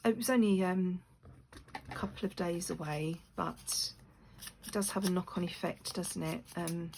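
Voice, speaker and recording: A woman with a croaky voice chatting casually to her channel while making craft pieces, rambling with lots of fillers. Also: on the low side, steady tone, measured pace, darkish tone. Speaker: crafting video maker. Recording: noisy room with clicks.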